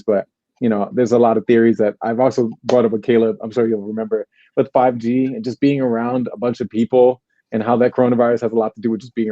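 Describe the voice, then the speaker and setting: A man's voice: medium pitch, dark tone, dry recording, lo-fi sound. A man hosting a podcast, chatting in an easygoing way and asking long, rambling questions. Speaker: podcast host. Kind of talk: casual podcast conversation.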